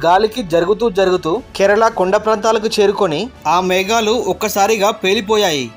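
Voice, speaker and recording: A man's voice: high voice, brisk, soft background music. A man reading scripted narration for an explanatory facts video.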